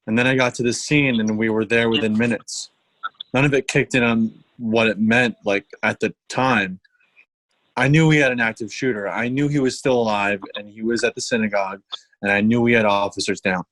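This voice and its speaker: Actor as male emergency official, fairly low voice, grave, matter-of-fact, documentary theater testimony. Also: steady tone, normal speed, fairly bright tone, dry recording, natural voice, low-quality recording. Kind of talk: dramatic reading of documentary play testimony.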